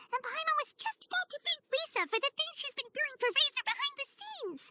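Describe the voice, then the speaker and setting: A woman voicing a tiny, cheeky, excitable female game companion with a squeaky, childlike voice. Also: normal speed, background noise. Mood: cheeky disbelief. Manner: exclaims, speaks of self in third person. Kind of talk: video game character acting. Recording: music behind the voice.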